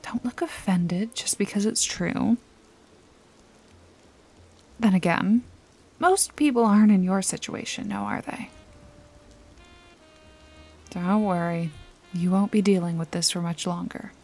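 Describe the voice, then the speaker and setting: A woman playing a teasing, bossy, secretive detective in a noir mystery ASMR roleplay, wry and coaxing with a soft, breathy voice. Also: fairly low pitch. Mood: dry, reassuring.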